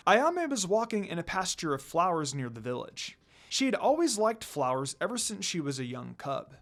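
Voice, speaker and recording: A man with a fairly high voice narrates a story aloud. His pitch moves around in a lively, expressive way as he reads. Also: moderate pace. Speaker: fan fiction reader.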